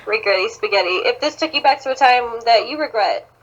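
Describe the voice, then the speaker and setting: A woman's voice: a little high, animated, a little fast, a bit bright, dull audio. A woman co-hosting a podcast in casual banter, sarcastic and sweary, with some room noise behind her. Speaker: podcast co-host.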